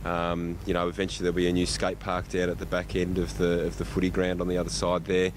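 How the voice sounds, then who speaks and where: A man's voice: sits low, completely flat delivery, fast, background noise. An Australian man speaking as a school principal in an informal interview, often repeating words as he goes.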